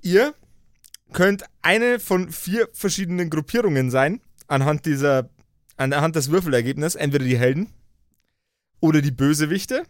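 Higher-voiced man leading casually, dry close sound. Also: expressive, normal speed, no room sound. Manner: jokey, mixes English catchphrases into German. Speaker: game master of a role-playing podcast. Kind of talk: casual, slangy chat leading a character-creation session.

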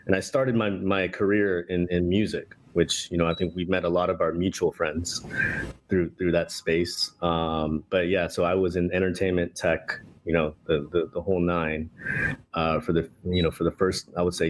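Deep-voiced man chatting casually. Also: normal speed, slight background noise. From Vietnam. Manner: relaxed, many restarts and fillers. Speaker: guest, tea company co-founder. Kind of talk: casual interview conversation.

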